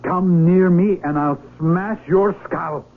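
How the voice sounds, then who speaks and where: An actor in a radio drama playing a proud, desperate young mountaineer, an outsider who speaks earnestly and defensively. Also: male voice, high-pitched, slow, loud music behind the voice. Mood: angry, threatening. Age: young adult. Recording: tinny vintage radio broadcast.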